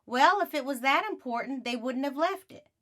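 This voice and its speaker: Storybook girl, young, indignant and curious, played by a woman; higher pitch, very animated, emphatic. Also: normal speed. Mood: insistent. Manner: emphatic, protesting.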